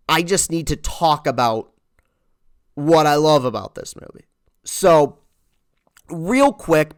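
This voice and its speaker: A man reviewing a movie in casual, earnest talk, halting and repeating words as he goes. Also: a little high, clear, resonant tone, dry recording, studio quality. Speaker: film reviewer.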